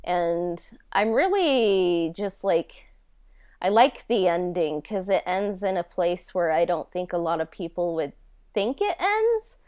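A woman's voice: fairly low pitch, very animated, takes it slowly, a little mellow, clean tone, dry recording. A woman co-hosting a podcast in casual chat, informal and self-effacing in the way she talks. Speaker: podcast co-host and audio drama creator.

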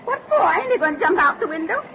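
A woman's voice: very high voice, sing-song, fast, vocal fry, a crowd in the background, exaggerated voice. An actress playing a feisty, plainspoken old American pioneer woman with a homespun manner in an old-time radio drama. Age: older. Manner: homespun, spirited. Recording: muffled old radio, droning noise behind.

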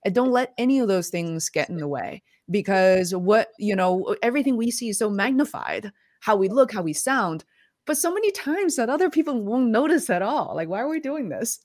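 A woman hosts a livestream interview on a video call, speaking in a chatty, enthusiastic way full of fillers. Her mid-pitched voice comes through dry and close, with no room sound. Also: sing-song, normal speed, darkish tone, no room sound. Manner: enthusiastic, chatty, many fillers like "like" and "you know". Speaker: livestream host. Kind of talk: casual interview.

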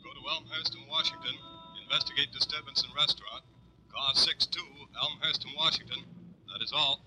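An actor playing a clipped, official police dispatcher calling cars over a radio, in 1940s style. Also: male voice, medium pitch, fairly even intonation, a little fast, music in the background, rough recording. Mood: official, urgent. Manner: clipped, repetitive announcing. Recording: sharp old radio recording, faint noise.

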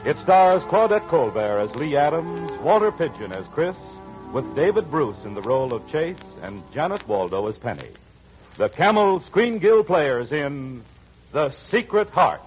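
A man's voice: fairly high pitch, big pitch swings, a little slow. An American man announcing in formal, emphatic 1940s radio style. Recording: dull old radio recording, music behind.

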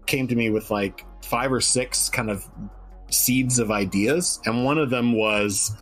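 A man's voice: mid-range voice, a bit bright. A man talking casually about his own writing in a joking, self-deprecating way. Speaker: guest writer on a fiction stream.

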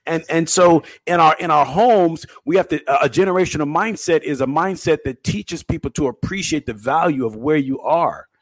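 A man's voice: fairly high pitch, moderate pace, hardly any pauses, dry recording. A middle-aged man co-hosting a talk show, speaking emphatically in a casual preaching style and often repeating words. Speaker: podcast co-host.

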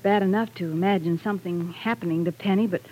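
A worried, husky-voiced radio mother. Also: on the low side, fast talker, dark tone, rough recording. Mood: concerned. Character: worried middle-aged mother, caring, torn, gentle wife. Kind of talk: 1940s radio soap acting.

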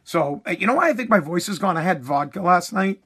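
A man's voice: high voice, expressive, fairly quick, sharp tone, husky. A man hosting his own podcast, ranting bluntly and heatedly with swearing while he is losing his voice. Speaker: podcast host.

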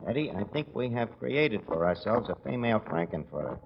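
Brash, lively tavern manager. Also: male voice, lowish voice, a little fast. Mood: exasperated. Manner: slangy, ungrammatical, streetwise. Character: brash, uneducated wisecracking tavern manager, a man. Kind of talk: 1940s radio comedy acting. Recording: muffled, very noisy old radio recording.

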